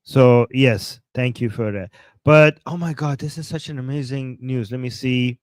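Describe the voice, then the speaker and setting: A man with a Persian accent, talking as host of a casual political livestream, excited and rambling, with many fillers and restarts. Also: mid-range voice, moderate pace, no echo, clean studio sound.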